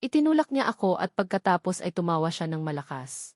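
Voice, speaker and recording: A woman's voice: fairly low pitch, very animated, fairly quick, darkish tone, dry recording, studio quality. A woman with a Filipino accent reading a dramatic first-person story as an audiobook narrator.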